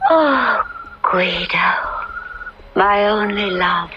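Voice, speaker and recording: An actress playing an innocent, lovestruck young noblewoman, breathy and rapturous, in a 1970s radio melodrama. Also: mid-range voice, animated, very slow, pushed and bright, dull audio, full character voice. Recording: band-limited 1970s radio with music.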